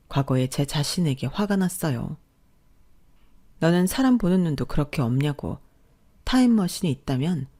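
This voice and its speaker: A woman's voice: deep voice, dark tone. Indignant woman narrating her own story. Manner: indignant, confiding. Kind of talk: scripted first-person storytelling.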